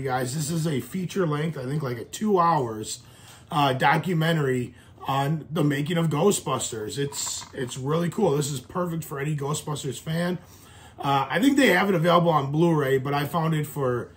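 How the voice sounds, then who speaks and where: A man, a little hoarse from a cold, chatting casually as he shows off his collection on his channel, rambling and full of fillers. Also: fairly high pitch. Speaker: YouTube collector vlogger. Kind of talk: casual show-and-tell vlog.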